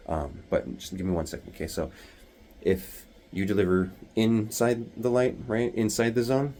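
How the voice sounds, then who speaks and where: A man with a very deep, low-pitched voice explains things in a hushed, half-whispered way, stumbling through fillers and restarts. He talks into a phone in a small bathroom at home. A faint hum of background noise sits under the voice. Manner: rambling, many fillers and restarts; hushed, half-whispering to avoid waking others. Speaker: delivery driver vlogger. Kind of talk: casual explainer vlog.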